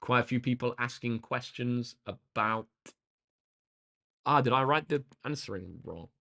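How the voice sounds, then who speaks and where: A man chatting casually and informally to viewers on a livestream as a tutor, his voice slightly croaky and tired, speaking to them directly and thanking them. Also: medium pitch, expressive, a little slow, fairly bright tone. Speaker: maths tutor live streamer.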